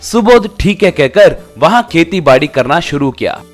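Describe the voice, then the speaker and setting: Lively storybook narrator over soft music. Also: mid-range voice, moderate pace.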